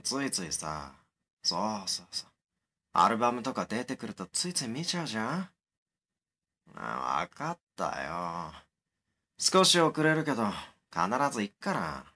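A man's voice: mid-range voice, sharp tone, exaggerated voice. A man playing an easygoing young man in an audio drama, talking in a blunt, colloquial, muttering way. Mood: casual, teasing. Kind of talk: naturalistic audio drama dialogue.

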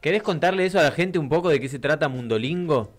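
Male radio co-host, Buenos Aires accent, slangy and teasing, lively highish voice over music. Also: fast talker. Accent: Buenos Aires Argentine. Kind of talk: casual banter. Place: small radio studio. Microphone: close studio microphone with headset.

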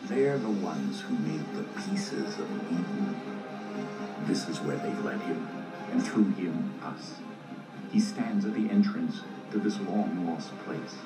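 Deep, grave father narrating a game. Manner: solemn, deliberate storytelling. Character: grave older father, weary leader of secret assassins. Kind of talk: scripted cinematic game narration. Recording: echoey, distant game audio under loud music.

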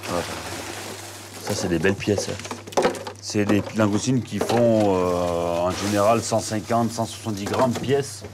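A man's voice: lowish voice, measured pace, very noisy. A middle-aged man, a head chef, explaining his work directly while moving around a hotel kitchen. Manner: direct, instructive, hands-on.

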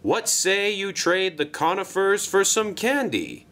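Casting: man as polite, self-important older gentleman running a storybook railway, effusive, lively pitch. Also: slow, full character voice. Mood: hearty, eager. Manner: formal, effusive, flustered when surprised.

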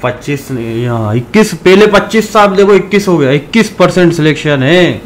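A hoarse man from India, sick with a sore throat, chatting to his viewers in a fairly high voice. He calls them brothers and rants loudly at times. Faint noise hums under the recording. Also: lively intonation, moderate pace. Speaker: fantasy cricket YouTuber. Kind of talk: casual talk to viewers.

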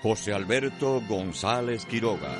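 A man's voice: on the low side, expressive, a little slow, loud music behind the voice. A man solemnly announcing the titles of a radio drama in an emphatic, declamatory way.

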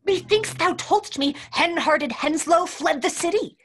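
A woman voicing a sharp-tongued young male Elizabethan player, with archaic stage speech and quick retorts. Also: on the high side, animated, slight background noise. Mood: panicked. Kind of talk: audio drama acting.

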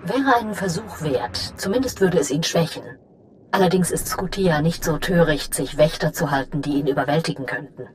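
A woman playing a scheming, imperious female Sith lord in a German game dub, commanding and deliberate. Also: low-pitched, a little hiss or hum, lightly acted. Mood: calculating, commanding.

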